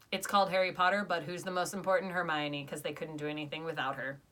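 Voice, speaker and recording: A young woman in casual conversation, her voice bright and forward. She talks with eager, chatty enthusiasm and argues in good fun. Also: fairly low pitch, fairly quick. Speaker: podcast review co-host. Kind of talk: casual chat.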